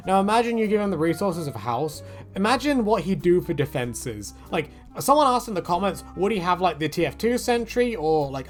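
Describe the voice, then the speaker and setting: A man giving a casual, rambling video commentary in a chatty way, often correcting himself and wandering off on tangents. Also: a little high. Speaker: gaming video creator.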